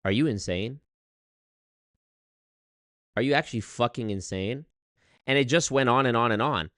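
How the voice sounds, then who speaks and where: A man telling a story on his livestream in an animated, exasperated way, swearing often and reading chat. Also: mid-range voice, moderate pace, no room sound, studio quality. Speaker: streamer. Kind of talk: casual livestream rant.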